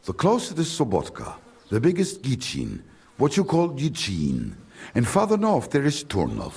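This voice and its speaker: A gravelly German-accented village trader in a video game. He is world-weary and grumbling, with a husky edge and pitch that swings widely. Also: wildly swooping pitch, moderate pace, darkish tone, full character voice. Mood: matter-of-fact. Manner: grumbling, mixing German phrases in. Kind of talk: video game character acting.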